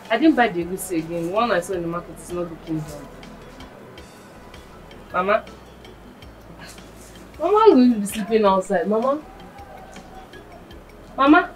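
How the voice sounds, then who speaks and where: A woman's voice: mid-range voice, natural voice. A young woman with a Nigerian accent playing an earnest teenage granddaughter devoted to her grandmother. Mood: chatty. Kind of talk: Nigerian web drama acting.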